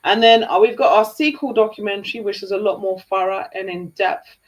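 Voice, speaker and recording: A woman from Jamaica co-hosting a live Q&A stream, reading out viewer questions in a brisk, upbeat way. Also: medium pitch, steady tone. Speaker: co-host.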